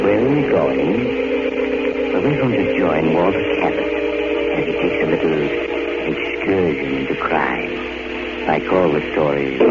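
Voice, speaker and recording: A low-voiced, sinister but genial male host narrates macabre radio tales. His delivery is suave and deliberate. Loud music sits behind him on the muffled 1940s recording. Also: full character voice.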